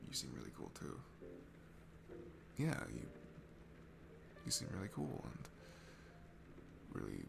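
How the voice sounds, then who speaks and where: A man's voice: very deep voice, creaky, noisy background, exaggerated voice. A young man playing a shy, nervous, sweet guy at a bar in an ASMR roleplay, talking in a hesitant, rambling, self-deprecating way. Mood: shy, soft. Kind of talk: ASMR roleplay, one-sided intimate conversation.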